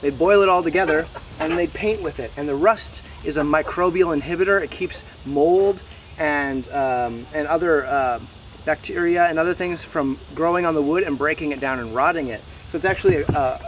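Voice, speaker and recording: A man in his thirties giving a casual, explanatory walking tour to camera, pausing now and then mid-sentence. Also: on the high side. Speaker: host giving a garden tour. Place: outdoors in a wooded backyard garden. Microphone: camera mic several meters away. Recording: distant camera mic, noisy outdoor ambience. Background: insects and outdoor noise.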